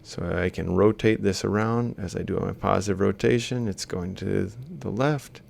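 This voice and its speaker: A man giving an informal, step-by-step lecture, explaining haltingly as he works through examples. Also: on the low side, fairly even intonation, creaky, a little mellow. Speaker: university robotics lecturer. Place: screen-recorded lecture voice-over.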